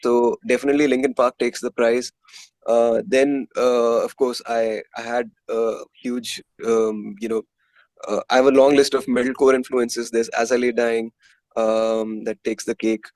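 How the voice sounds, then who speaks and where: A man with an Indian accent speaks in a casual interview style. His voice sits at an average pitch, and the sound is dry, with hardly any room in it. He comes across as a musician answering questions conversationally. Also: little pitch movement, unhurried, a little mellow, no echo.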